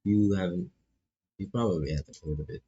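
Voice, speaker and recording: Reserved new student girl for a visual novel, read by a man: hesitant, understated, dark and husky. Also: low-pitched, a little fast, dull audio.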